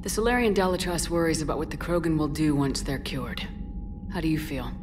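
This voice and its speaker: A woman's voice: low-pitched, fairly quick, background noise, natural voice. An actress playing a direct, no-nonsense female military commander. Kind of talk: video game voice acting.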